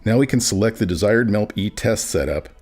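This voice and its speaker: A man with a fairly low voice narrates a software demonstration. He reads from a script as a tutorial presenter. Music plays behind him. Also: lively intonation, brisk. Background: background music.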